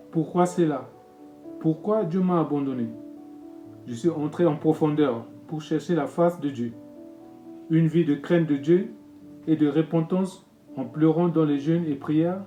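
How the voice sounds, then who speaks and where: A man, a pastor reading his own testimony in a solemn, measured way. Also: a little high, warm tone. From Congo-Brazzaville. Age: 50s. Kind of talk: read personal testimony. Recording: echoey room with music bed.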